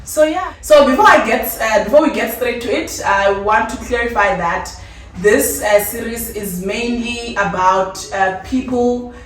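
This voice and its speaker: A woman's voice: fairly high pitch, a little slow, fairly bright tone. Echoey South African woman podcast host. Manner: informal, playful, teasing. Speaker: host of an interview podcast series. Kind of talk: casual conversational interview. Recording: echoey room, distant mic, faint noise.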